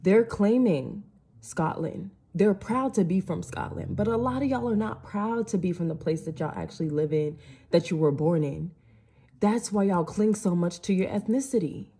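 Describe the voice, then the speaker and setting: A woman's voice: fairly low pitch, normal speed, mellow, breathy edge. A woman, delivering an indignant rant to camera full of rhetorical questions. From United States. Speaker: social media commentator. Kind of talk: impassioned direct-to-camera rant.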